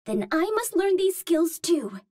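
A woman's voice: extremely high pitch, very animated, measured pace, mellow, no echo, studio quality, heavily acted. An actress playing a cute, naive young Martian space healer, speaking in a sweet, girlish, precise and formal way. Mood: curious, earnest.

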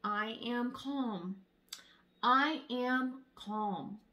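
Woman voicing a slow, calm little storybook monkey. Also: a little high, lo-fi sound, pitched below the performer's own voice, full character voice. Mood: calm, soothing. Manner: slow, drawn-out, soothing self-talk. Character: calm female monkey soothing herself with mantras.

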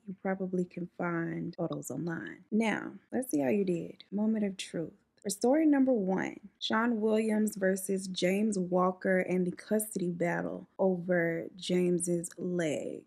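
一位嗓音略带沙哑气泡音的年轻女性，边化妆边闲聊讲故事。. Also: female voice, medium pitch, vocal fry, a little mellow, breathy edge. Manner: chatty, sassy asides, frequent "like". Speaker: beauty vlogger telling stories. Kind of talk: casual storytelling while doing makeup. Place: indoors at home, room behind her. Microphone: camera in front at close range.

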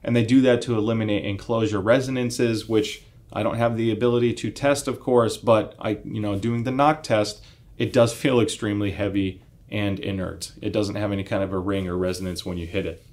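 Low-pitched man explaining gear casually. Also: steady tone, a little fast, darkish tone. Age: 30s to 40s. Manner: conversational, explanatory, uses analogies. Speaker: audio equipment reviewer. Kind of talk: casual explanatory product review. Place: indoor home listening room, seated.

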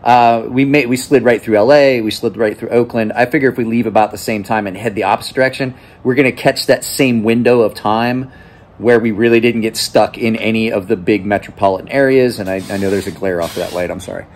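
A man's voice: fairly low pitch, fairly even intonation, a little fast. Tired host, 40s male, rambling, casual phone-held vlog in a shop. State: exhausted. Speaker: knife channel host. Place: small cluttered office, then large workshop. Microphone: phone held at arm's length.

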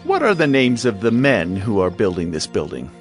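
A man's voice: lowish voice, huge pitch swings, normal speed. An actor playing an officious male provincial governor, formal and declamatory, in a dramatized scripture reading. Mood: demanding. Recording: music bed behind the voice.

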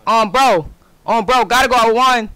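High-pitched, sharp-voiced young man. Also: normal speed. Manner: loud, repetitive, confrontational. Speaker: streamer playing a role-play game. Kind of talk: excited gaming banter, street slang. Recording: noisy game stream audio.

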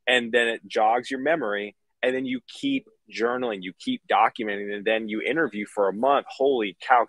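A man's voice: on the low side, fairly bright tone, dry recording, poor audio. A man on a video call panel, chatty and enthusiastic while sharing interview tips. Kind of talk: casual panel chat.

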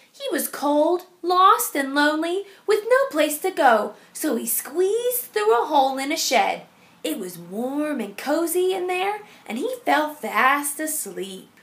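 A woman reading a picture book aloud to children as a teacher, expressive and emphatic. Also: high voice, takes it slowly.

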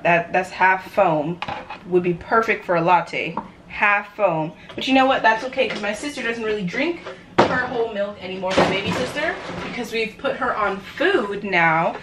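A young American woman talks casually to a camera in her home kitchen, with a faintly noisy room behind her. Her delivery is chatty and playful with a chaotic streak, at a middling pitch for a woman. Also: moderate pace, a bit bright. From New York, United States. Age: young adult. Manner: playful, chatty, self-described chaotic energy. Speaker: vlogger. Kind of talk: casual cooking vlog chat to camera. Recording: clear background noise, room ambience.

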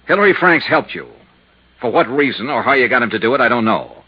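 Hard-boiled male investigator, medium-pitched and husky, blunt, muffled 1950s radio serial. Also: very animated, fast. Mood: pressing, grim. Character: tough, persistent male insurance investigator narrating his case. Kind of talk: 1950s radio drama acting and narration.

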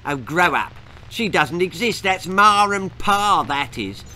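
A man's voice: high voice, expressive, slow pace, sharp tone, a little hiss or hum, pitched above the performer's own voice, exaggerated voice, low-quality recording. A man voicing a nasty, scornful big-sister girl in a children's story.

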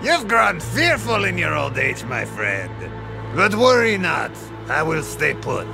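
A stubborn, jovial old adventurer in a fantasy game, his voice high and creaky. He speaks slowly and theatrically. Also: wildly swooping pitch, music in the background, exaggerated voice. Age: older. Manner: hearty, theatrical exclamations. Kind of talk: video game character dialogue.

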